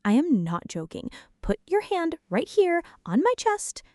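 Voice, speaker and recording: A woman's voice: very high voice, big pitch swings, unhurried, a little mellow, dry recording, studio quality, exaggerated voice. A woman acting a jumpy, flirty, dramatic friend in an ASMR roleplay, talking to the listener in a teasing, mock-indignant way. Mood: panicked.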